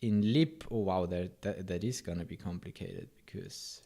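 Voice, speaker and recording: A man's voice: deep voice, normal speed, creaky, mellow. Chatty man with a German accent. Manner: rambling, self-interrupting, answers chat with asides. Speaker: live coding streamer. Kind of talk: casual live coding stream, reading chat.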